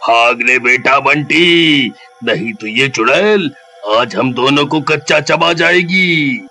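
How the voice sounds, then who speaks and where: A man's voice: medium pitch, takes it slowly, sharp tone, soft background music, exaggerated voice. A man voicing a fawning, mocking henchman of a village thug in a storybook tale. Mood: sneering. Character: mocking male sidekick of a thug, fawning, quick to flee.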